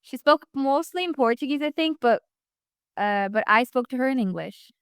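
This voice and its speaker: A woman's voice: sits high, lively intonation, normal speed, a bit bright, smooth, dry recording, clean studio sound. A young woman from the United States, a guest talking casually in an interview, rambling with many fillers and restarts.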